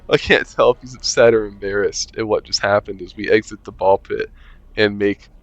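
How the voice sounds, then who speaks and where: A man's voice: lowish voice, fairly even intonation, moderate pace, fairly bright tone, dry recording, highs cut off. Casual young man reading a game aloud. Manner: casual, joking asides, hums to himself. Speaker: gaming channel host. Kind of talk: reading a visual novel aloud with commentary.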